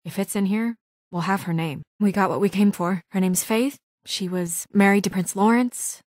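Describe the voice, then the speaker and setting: A woman's voice: medium pitch, moderate pace, a little mellow, dry recording, studio quality, lightly acted. An actress voicing a composed, businesslike but worried young woman official in a video game, speaking in an earnest, restrained, matter-of-fact way. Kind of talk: naturalistic video game voice acting.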